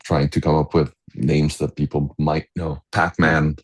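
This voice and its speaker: A middle-aged man with an exceptionally deep voice. He talks casually as a podcast guest, halting often as he searches for words. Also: little pitch movement. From Egypt. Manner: hesitant, halting delivery with many pauses. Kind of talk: casual reminiscing conversation.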